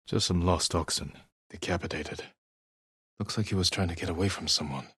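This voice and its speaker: A man voicing a stoic, honorable samurai warrior in a video game, speaking in a measured, solemn and restrained way. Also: low voice, a bit bright, husky, dry recording, clean studio sound, heavily acted. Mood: quiet, brooding. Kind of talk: dramatic video game voice acting.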